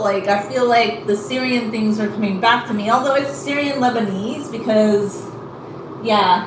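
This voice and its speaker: A woman's voice: on the high side, echoey room, recorded from a distance, background noise. A woman chatting casually in a rambling way with many fillers while she combs out her hair. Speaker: vlogger.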